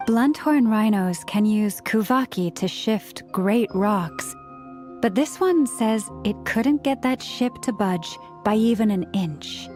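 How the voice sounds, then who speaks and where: Actress as gentle composed game girl, mid pitch, polite and measured, music behind. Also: natural voice. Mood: calm, explaining. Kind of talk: video game voice acting.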